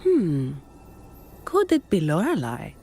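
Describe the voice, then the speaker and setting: A woman voicing a composed, inquisitive young adventurer in a video game, with a measured, matter-of-fact manner. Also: fairly low pitch, wildly swooping pitch, warm tone, slight background noise, full character voice. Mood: pondering, practical.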